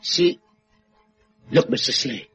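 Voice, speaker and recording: A man's voice: takes it slowly, a bit bright. An actor playing an easygoing Caribbean sidekick in a 1950s radio drama, philosophical and lilting in his speech. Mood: gentle, musing. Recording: dull old radio recording with guitar.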